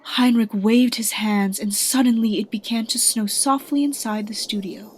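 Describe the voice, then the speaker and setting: A woman's voice: a little high, steady tone, normal speed, a little mellow, breathy edge. A woman narrating a scripted radio drama as an earnest storyteller.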